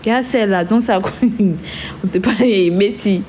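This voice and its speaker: A woman's voice: mid-range voice, unhurried, a little mellow, slight background noise. A woman hosting a casual live stream who has a cold and talks in a chatty way, trailing off and restarting. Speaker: livestream host.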